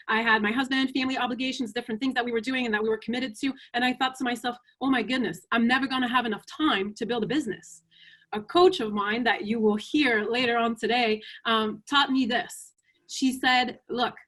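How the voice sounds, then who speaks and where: Lady on a video call, upbeat and encouraging, kinda high voice. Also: a bit bright. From Quebec, Canada. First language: French. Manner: upbeat, encouraging, rhetorical "right?". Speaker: panel speaker. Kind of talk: online business talk.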